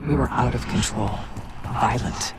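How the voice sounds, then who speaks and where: A man playing a menacing, bitter inner voice in a video game, taunting and deliberate in manner. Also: medium pitch, fairly even intonation, gravelly, heavy background noise. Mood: bitter, intense. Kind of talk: dramatic video game voice acting. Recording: loud music under the voice.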